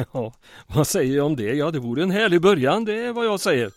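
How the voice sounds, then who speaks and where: An actor playing a small-town mayor under occupation in an audio drama, earnest but wry and weary. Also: male voice, fairly high pitch, animated, no echo.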